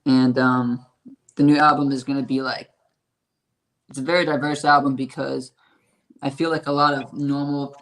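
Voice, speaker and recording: A man with a raspy, hoarse voice, talking about his music in a casual interview and rambling with lots of fillers and swearing. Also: medium pitch, flat delivery, normal speed, long pauses, fairly bright tone, rough recording. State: hoarse from a strained voice. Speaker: independent musician.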